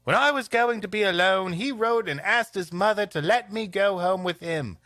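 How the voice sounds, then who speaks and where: Man doing an earnest high-voiced English schoolboy. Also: normal speed, bright, forceful tone, no room sound, clean studio sound. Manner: earnest, stout.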